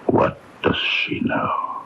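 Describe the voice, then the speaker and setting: An actor playing a coldly polite, menacing mob boss in a radio mystery, speaking formally and deliberately. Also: male voice, bright, forceful tone. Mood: coldly insinuating. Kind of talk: radio mystery drama acting. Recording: dull, noisy AM radio recording.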